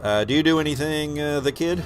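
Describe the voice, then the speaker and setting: A man's voice: on the high side, a little fast, soft background music. A man narrating an improvised tabletop game as game master, thinking aloud as he goes.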